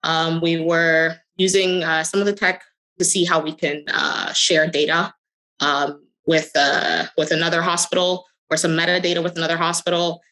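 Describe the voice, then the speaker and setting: Bright-voiced, low woman. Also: little pitch movement, moderate pace. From the Bahamas. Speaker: interview guest, crypto company executive. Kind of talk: conversational interview answers, personal storytelling.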